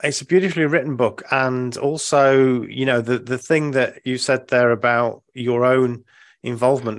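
Male podcast host, halting and encouraging, lots of fillers, mid pitch. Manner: hesitant, many fillers and restarts, encouraging. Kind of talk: podcast interview.

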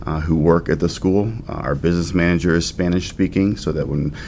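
A man who works as a foundation director, speaking in a measured way with frequent pauses in a casual interview. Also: low-pitched, steady tone, darkish tone, slight background noise. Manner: measured, many uh fillers.

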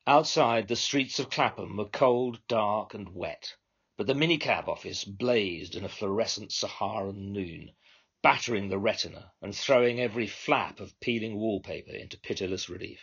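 Husky British man reading a story. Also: lowish voice, moderate pace, a bit bright, lo-fi sound. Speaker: author reading own short story. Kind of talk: scripted first-person literary narration.

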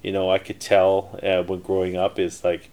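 A man's voice: low voice, monotone, normal speed, dark tone. A middle-aged man telling his life story in a casual interview, rambling with many fillers and restarts. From Canada. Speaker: interview guest, entrepreneur.

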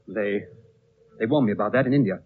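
A man's voice: lowish voice, fairly quick, lightly acted. An actor playing an agitated, lovestruck young Englishman, stubborn and stammering, in 1930s radio drama style. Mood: upset, pleading. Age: young adult. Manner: stammering, pleading, excitable. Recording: muffled, noisy 1930s radio broadcast.

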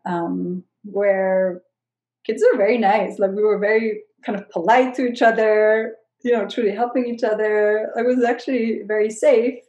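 A woman from Switzerland and the Netherlands, a guest coach, who speaks in a reflective, soft-spoken way during a casual interview. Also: medium pitch, steady tone, moderate pace, dull audio. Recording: roomy echo.

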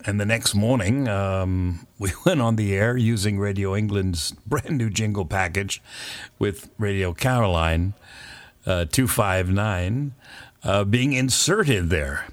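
An older man with a very low, deep voice tells an old radio story in a loose, rambling way, full of fillers and small chuckles. The sound is close and dry, with no room around it. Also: slow, no room sound. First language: not French; English speaker. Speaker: former pirate radio DJ, guest. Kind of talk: relaxed storytelling interview.

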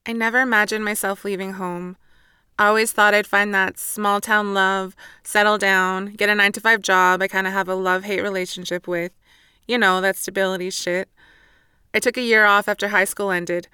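A woman's voice: medium pitch, flat delivery, normal speed, sharp tone, clean tone, dry recording, studio quality, lightly acted. A woman playing a guarded, wry young woman home for a funeral in an audio drama, casual and self-interrupting. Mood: wry, rambling. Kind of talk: naturalistic audio drama monologue and dialogue.

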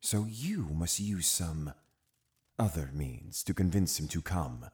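An actor playing a cunning, zealous Puritan uncle in an audio drama, commanding and condescending with deliberate phrasing. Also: male voice, sits low, lively intonation, a little mellow. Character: cunning, zealous Puritan uncle, a menacing witch-hunting authority. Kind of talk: full-cast audio drama acting.

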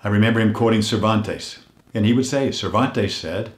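An older man hosting a weekly motivational message, earnest and unhurried, his voice a little hoarse as if he is losing it, often dropping to a hush on heartfelt points. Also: lowish voice, roomy sound. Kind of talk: reflective motivational talk.

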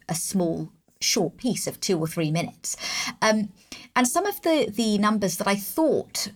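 A woman hosting a music review show, listening closely and asking curious follow-up questions. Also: fairly low pitch. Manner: attentive, curious. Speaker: host. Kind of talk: interview conversation.

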